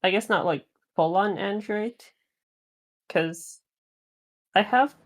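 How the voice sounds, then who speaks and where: A woman chatting casually about devices on a live voice call, full of fillers and quick laughs. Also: mid-range voice. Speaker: jailbreak developer on a stream. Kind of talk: casual tech chat.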